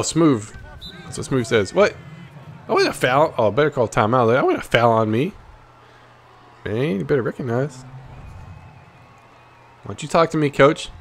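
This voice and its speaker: A man's voice: medium pitch, a little hiss or hum. An American man giving casual, playful trash-talking commentary as he plays a video game, jumping between exclamations and muttered asides. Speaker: gaming video host. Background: basketball video game sounds.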